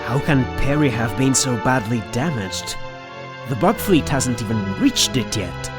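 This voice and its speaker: Male pilot, acted by a man, wry, questioning, sci-fi radio play, clear background music. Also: medium pitch, lively intonation, measured pace, lightly acted. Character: wry male fighter pilot, teasing peacemaker. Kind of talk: sci-fi audio drama acting.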